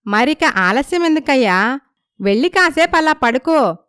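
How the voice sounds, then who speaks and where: A woman voicing a friendly, flattering village sister-in-law who gossips, in a moral story. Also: sits high, a little slow, dry recording, pitched above the performer's own voice. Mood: coaxing, catty.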